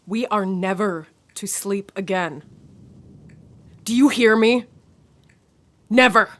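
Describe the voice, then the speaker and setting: A woman playing a haunted, secretive and gravely urgent intellectual with formal, theatrical diction in a radio horror drama. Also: mid-range voice, slow pace, lightly acted. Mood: grave, commanding.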